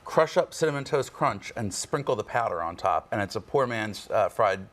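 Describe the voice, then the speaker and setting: A man's voice: fairly low pitch, lively intonation, moderate pace, fairly bright tone. A man as a regular on a television talk show, joking and self-mocking in casual banter. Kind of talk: casual studio chat. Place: TV studio.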